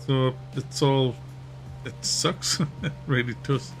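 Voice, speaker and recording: A man with a Wearside Mackem accent from near Durham, casually chatting with viewers during a game stream, relaxed and chatty, often laughing and trailing off. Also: medium pitch, slow, soft background music. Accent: Wearside (Mackem) North East English. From North East England, near Durham. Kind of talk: casual live-stream chat reading viewer comments.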